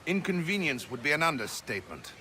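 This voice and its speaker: An actor playing a gruff, resentful sailing-ship captain in a video game, stern, blunt and formal. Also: male voice, fairly high pitch, big pitch swings, quick pace, background noise. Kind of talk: video game cutscene acting.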